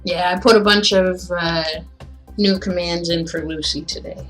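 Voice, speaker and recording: A woman's voice: fairly low pitch, steady tone, moderate pace, fairly bright tone, soft background music, poor audio. A woman chatting casually as a livestream host, rambling and musing aloud in an informal way. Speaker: streamer reading stories aloud.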